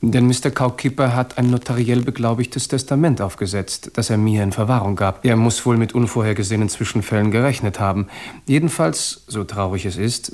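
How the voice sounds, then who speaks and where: A man playing a deferential, composed manservant in a radio drama, speaking formally and politely in measured phrases. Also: medium pitch, darkish tone, natural voice. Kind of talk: German radio drama acting.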